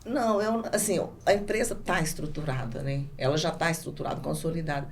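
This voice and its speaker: A middle-aged woman with a Brazilian Portuguese accent answers interview questions conversationally, pausing and restarting as she searches for words. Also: low-pitched. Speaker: podcast guest, business owner. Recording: clearly noisy background ambience.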